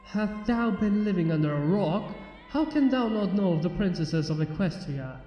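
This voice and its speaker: A man's voice: high-pitched, expressive, normal speed. A man voicing a regal, formal female alicorn pony princess in a storybook, with archaic, stately speech. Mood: puzzled, formal. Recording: muffled, echoey long reverb under music.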